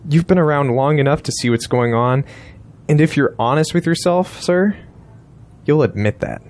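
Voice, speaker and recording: A man's voice: a little high, moderate pace, darkish tone, natural voice. A man playing a blunt, persuasive political strategist in an audio drama, insistent and lecturing.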